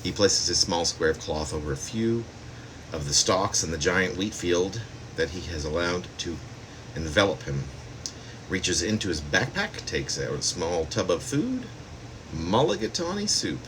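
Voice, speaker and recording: A man with a very deep voice reads his own poetry aloud. It is a poet's recitation, recorded plainly with a faint hum of air conditioning behind the words. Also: sing-song, a little slow. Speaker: poet. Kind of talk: poetry reading of own work.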